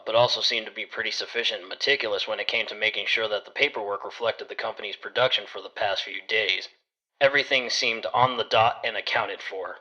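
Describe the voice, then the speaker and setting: A man playing a private detective who narrates his case in a measured, matter-of-fact way. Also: fairly low pitch, sharp tone, low-quality recording. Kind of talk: first-person detective story narration.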